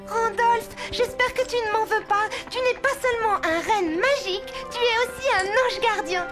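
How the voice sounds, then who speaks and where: A woman's voice: extremely high pitch, moderate pace, over music. A woman voicing a spirited little girl in a French cartoon, earnest and childlike. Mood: tender, regretful. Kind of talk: French animated series dubbing.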